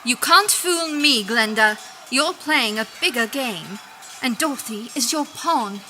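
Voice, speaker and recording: An actress playing an earnest, protective good witch in an audio drama, with brisk, formal, theatrical phrasing. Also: on the high side, expressive, sharp tone. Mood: reproachful. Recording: music behind the voice.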